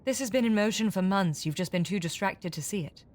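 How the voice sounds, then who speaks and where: An actress in an audio drama playing a shrewd, defiant captive con artist, sardonic and evasive. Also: female voice, mid-range voice, fairly quick, a little mellow, slight background noise, lightly acted. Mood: guarded, grim.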